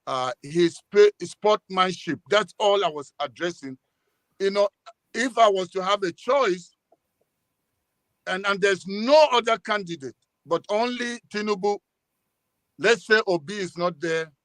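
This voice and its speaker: A high-voiced man with a Nigerian accent gives political commentary in a live discussion. He speaks emphatically, with stops and repetitions, and his sound is close and dry. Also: unhurried, a bit bright, no room sound.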